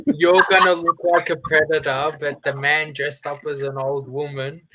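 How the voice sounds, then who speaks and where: A man's voice: on the high side, little pitch movement, moderate pace, muffled recording, crowd noise. A man joining a casual chat with dry, joking remarks.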